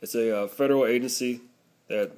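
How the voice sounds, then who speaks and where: A man's voice: mid-range voice, steady tone, brisk, mellow, slight background noise. A man narrating a casual product review, explaining things step by step.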